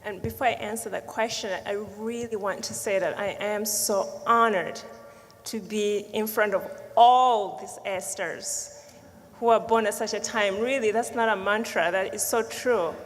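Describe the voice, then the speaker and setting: A woman's voice: mid-range voice, steady tone, a little slow. A woman from Uganda with a Ugandan accent, speaking passionately and emphatically as a panelist. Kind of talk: earnest panel talk. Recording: long reverb of a large hall.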